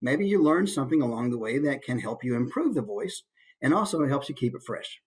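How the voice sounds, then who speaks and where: A man's voice: mid-range voice, sing-song, fairly quick, a little mellow, husky. A man with an American accent giving an informal, conversational instructional talk as a voice actor. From United States. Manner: conversational, demonstrates as he explains.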